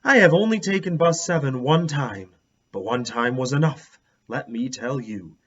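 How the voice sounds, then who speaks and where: A man reading a children's book aloud as an expressive storyteller. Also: medium pitch, normal speed, slightly rough, lo-fi sound.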